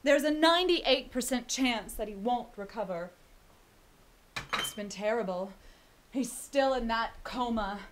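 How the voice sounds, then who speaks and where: A woman's voice: a little high, a little slow, a little hiss or hum, close to an everyday voice. An actress playing a snooty, pompous rich woman with an affected drawl in a campy comedy. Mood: haughty. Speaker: actor. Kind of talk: campy web-series comedy acting.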